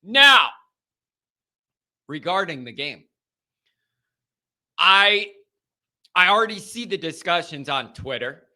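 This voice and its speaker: A man's voice: high-pitched, takes it slowly, pauses often. A man hosting a sports talk show, chatting casually and energetically while his voice sounds strained. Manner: energetic, chatty, loud openers. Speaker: sports podcast host.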